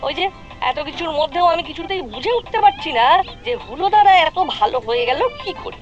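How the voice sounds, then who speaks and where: A woman's voice: extremely high pitch, expressive, brisk, highs cut off. A woman voicing a cheeky young boy in an exaggerated cartoon style. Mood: puzzled. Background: faint music.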